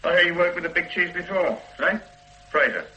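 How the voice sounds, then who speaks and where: A man's voice: high voice, animated, brisk, sharp tone, heavily acted. A man playing a jaded, grumbling police sergeant in a radio drama, wry and matey. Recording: roomy radio drama recording.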